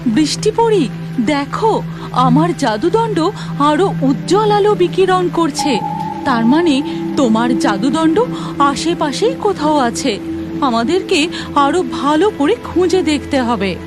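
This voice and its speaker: A woman voicing a cautious, gentle female cloud fairy in a children's cartoon, earnest and advising. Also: high-pitched, expressive, measured pace, pitched above the performer's own voice. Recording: music behind voice.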